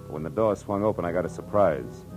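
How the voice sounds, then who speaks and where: Hard-boiled radio detective, low voice, wry terse narration, 1940s style, old recording. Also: male voice, fast talker, a little mellow, faint music behind, rough recording.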